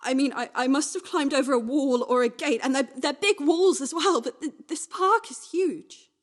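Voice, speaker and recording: A woman playing a restless, wistful young woman in a confessional radio play monologue, speaking naturally in halting, repetitive phrases. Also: high-pitched, lightly acted. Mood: agitated, giddy. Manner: halting, repetitive, swinging from hushed to agitated.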